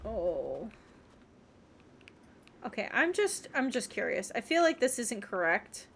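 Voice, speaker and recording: High-pitched woman streaming a game. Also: big pitch swings, slow, creaky, a little hiss or hum. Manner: muttering reactions and self-questioning to herself. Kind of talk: casual livestream gameplay commentary.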